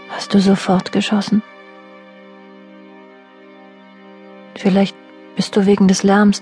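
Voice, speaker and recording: Actress as reflective female narrator, flat even pitch, subdued, radio drama, music behind. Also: vocal fry, lightly acted. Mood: pensive, probing. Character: reflective female narrator addressing an absent man. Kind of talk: scripted audio drama narration.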